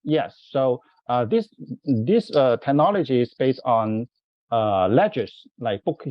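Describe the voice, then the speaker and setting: A man's voice: medium pitch, animated, slow, dry recording. Hesitant guy asking a question, lots of uhs and restarts, muffled dry audio. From California. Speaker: audience member asking a question.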